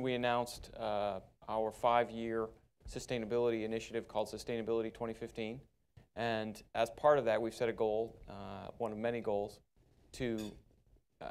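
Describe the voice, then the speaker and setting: A man with a fairly low voice speaks on a conference panel. He is measured and businesslike, pausing on fillers as he explains. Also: darkish tone, smooth. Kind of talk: panel discussion.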